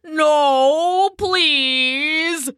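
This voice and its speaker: A woman's voice: mid-range voice, animated, slow, sharp tone, no room sound, studio quality. A woman voicing a grumpy, bulky old male bullfrog in a storybook, with a blustering, pleading manner. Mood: terrified, pleading.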